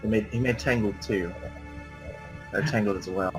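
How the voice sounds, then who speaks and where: Lowish-voiced man bantering over game music. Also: moderate pace. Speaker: co-commentator. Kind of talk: casual banter, gameplay commentary.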